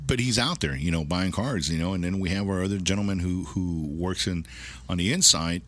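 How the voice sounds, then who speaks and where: A middle-aged man with a deep voice, speaking casually as an interview guest in a rambling way that often repeats phrases. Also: a little fast, fairly bright tone, a little hiss or hum. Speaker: car dealership manager, interview guest.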